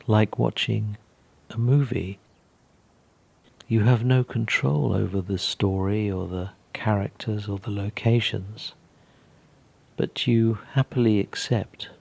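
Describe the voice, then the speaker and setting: Slow, husky man guiding meditation. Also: lowish voice. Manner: gentle, calm, unhurried with long pauses. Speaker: meditation guide. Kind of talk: guided meditation.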